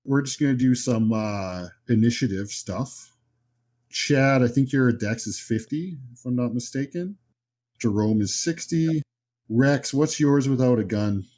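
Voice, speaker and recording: A man's voice: medium pitch, unhurried, a little mellow. A man as game master, improvising narration and explaining rules in a conversational way for a horror tabletop game.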